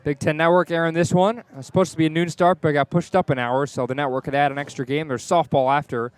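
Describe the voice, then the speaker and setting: A man's voice: a little high, clear, resonant tone. A man calling live baseball play-by-play on the radio from the ballpark. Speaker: play-by-play sports announcer. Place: outdoors at a baseball stadium.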